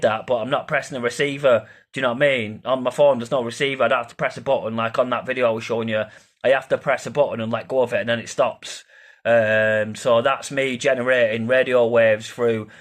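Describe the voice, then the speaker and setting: A man giving an informal explainer as an amateur paranormal investigator, rambling and hesitant, repeating himself and swearing casually. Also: mid-range voice.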